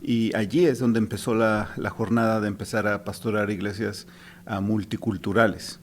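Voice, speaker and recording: A man's voice: on the low side, flat delivery, normal speed, a little hiss or hum. A man from Mexico City, a pastor in Chicago, answering interview questions fluently.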